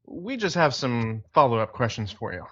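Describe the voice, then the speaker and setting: An easygoing police detective partner, played by an actor who cracks jokes during interviews. His mid-pitched voice comes through slightly muffled. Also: big pitch swings, normal speed. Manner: easygoing, joking.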